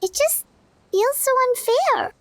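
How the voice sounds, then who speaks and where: A woman's voice: very high-pitched, expressive, takes it slowly, fairly bright tone, no room sound, exaggerated voice. A woman voicing a tiny childlike companion sprite in a video game, earnest and emphatic. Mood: indignant. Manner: childlike, emphatic, speaks in third person. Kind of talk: video game character dialogue.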